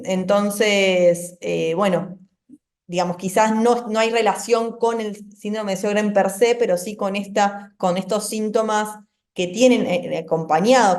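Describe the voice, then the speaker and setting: A woman's voice: mid-range voice, darkish tone. A woman with an Argentine accent, a rheumatologist in her thirties, answering questions on a video call with frequent fillers and restarts. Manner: thoughtful, many fillers and restarts. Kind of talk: answering questions in an online medical seminar.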